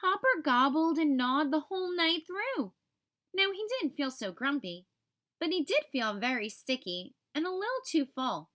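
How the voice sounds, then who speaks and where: High-pitched, animated woman storyteller. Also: a little slow. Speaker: read-aloud storyteller for young children. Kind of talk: expressive scripted children's story narration.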